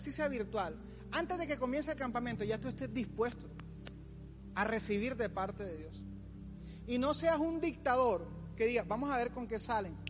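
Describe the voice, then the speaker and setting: A man in his forties preaching an informal youth sermon as a pastor, in a colloquial, teasing manner. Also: very high voice. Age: 40s. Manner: colloquial, teasing, acts out imagined complainers. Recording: long hall reverb, music underneath.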